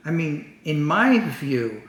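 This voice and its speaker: A man's voice: on the high side, big pitch swings, slow, fairly bright tone. An older man from the United States, chatting casually at home with his wife and making folksy, chuckling asides. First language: English. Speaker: co-host. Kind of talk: casual couple chat. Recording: echoey room with faint background noise.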